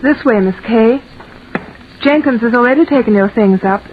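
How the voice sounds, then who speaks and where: A woman's voice: a little high, moderate pace, close to an everyday voice. A woman playing a cool, dryly sardonic lady wife, composed and icily polite, in old-time radio style. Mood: politely frosty. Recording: tinny, noisy old radio recording.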